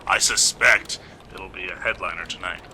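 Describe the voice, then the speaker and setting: Deep, gravelly male police investigator acted by a man. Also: steady tone, normal speed. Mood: irritated. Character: gruff, put-upon male police investigator. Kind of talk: amateur radio play acting. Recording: noisy amateur home recording.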